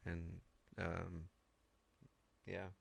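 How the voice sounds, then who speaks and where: A man from Washington State rambling casually about his musical background, halting and loose. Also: deep voice, monotone, gravelly, husky. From Washington State, USA. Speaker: band member. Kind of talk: casual interview.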